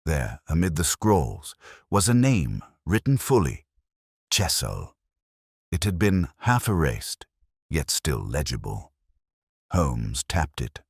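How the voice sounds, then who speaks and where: A man's voice: a bass voice, very animated, slow pace, gravelly, breathy edge, dry recording, studio quality. A man reading a scripted detective story as a first-person narrator. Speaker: audiobook narrator.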